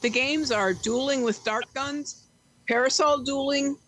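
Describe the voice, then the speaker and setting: A woman's voice: high-pitched, a little slow, a bit bright, rough recording. A woman playing a businesslike meeting leader in an amateur audio play, orderly and matter-of-fact. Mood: brisk, orderly. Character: businesslike woman chairing an eccentric club meeting.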